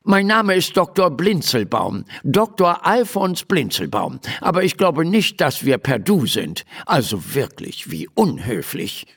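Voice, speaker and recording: A man's voice: a little high, big pitch swings, unhurried, creaky, hardly any pauses, a bit bright, breathy edge, pitched above the performer's own voice, exaggerated voice. A man voicing a tiny, grumpy and fussy gnome doctor in a children's story, pompous and easily affronted.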